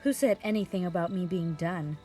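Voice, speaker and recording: A woman playing a young, unrepentant wind mage killer in a fantasy audio drama, measured, resigned and quietly menacing. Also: on the low side, lightly acted. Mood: calm, unrepentant. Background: music.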